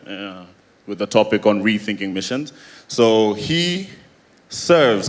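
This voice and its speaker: Man, from Sierra Leone, conference emcee, upbeat, lowish voice. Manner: upbeat, engages the audience.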